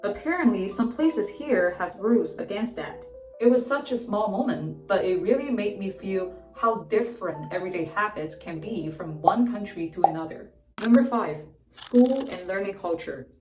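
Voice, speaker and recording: A young adult woman from China telling personal stories in a clear, explanatory vlog narration. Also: medium pitch, lively intonation, moderate pace, darkish tone. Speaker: vlogger. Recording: roomy echo from a distance, faint music.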